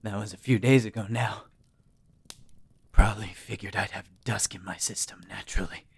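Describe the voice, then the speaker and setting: A man playing a bitter, wounded dockworker in an audio drama, with a gravelly, labored, sardonic delivery. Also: on the low side, fairly even intonation, moderate pace, full character voice. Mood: weary resentment. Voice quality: raspy, gravelly. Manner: sardonic, labored speech broken by coughs. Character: bitter, dying wounded dockworker, foul-mouthed and defiant.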